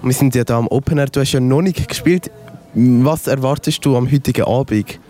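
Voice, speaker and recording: Mellow male festival interviewer. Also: medium pitch, brisk. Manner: informal, loosely phrased questions. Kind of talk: casual interview. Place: outdoor music festival.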